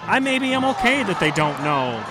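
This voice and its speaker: A man's voice: sits high, big pitch swings, normal speed, loud music behind the voice, over a noisy crowd. A man hosting a podcast, talking casually and rambling, often correcting himself mid-sentence. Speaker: podcast host. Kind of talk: casual sports talk.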